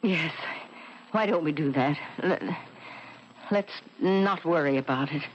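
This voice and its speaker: An actress playing a gracious but intense married woman in an old-time radio drama, with an American accent and a deliberate, hesitant delivery. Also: sits low, music in the background. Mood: uneasy. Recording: dull, noisy vintage radio recording.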